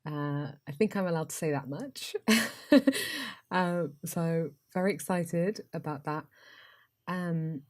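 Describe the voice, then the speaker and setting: Low-voiced woman with an RP accent. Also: slow pace, long pauses. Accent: Received Pronunciation English. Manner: flat, even delivery with frequent ums. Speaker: podcast host. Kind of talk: casual solo podcast talk and plain reading aloud.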